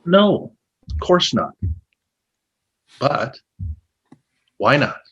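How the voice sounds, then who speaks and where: A cynical, needling former agent acted by a man with a husky voice that swings widely in pitch. He talks in naturalistic play dialogue, halting and pointed, dryly provocative. The recording is a little dull. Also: sing-song, a bit bright, full character voice. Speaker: actor in a two-man play.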